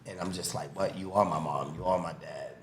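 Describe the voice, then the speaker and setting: Deep voiced guy, boxing coach talking casual and emphatic, echoey with a bit of noise. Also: steady tone, fairly quick. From born in the US, early childhood in Haiti, then raised in New York. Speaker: boxing coach, podcast guest. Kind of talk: casual personal storytelling and explaining. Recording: echoey room with faint background noise.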